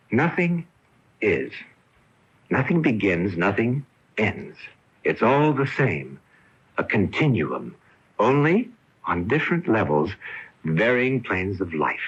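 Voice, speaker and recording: Intense, husky ghostly lover. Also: male voice, fairly low pitch, sing-song, heavily acted. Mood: solemn, coaxing. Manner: theatrical, halting, insistent delivery. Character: male ghost of a suicide, intense, pleading, possessive lover. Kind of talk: radio mystery drama acting. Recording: muffled 1980 AM radio broadcast tape.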